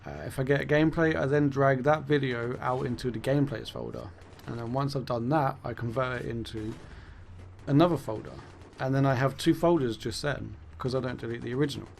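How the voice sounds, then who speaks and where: Rambling gravelly-voiced man, voice going. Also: medium pitch, a little fast, slight background noise. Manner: rambling, self-correcting, swears then laughs it off. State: losing his voice. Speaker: gaming YouTuber. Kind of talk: casual commentary monologue.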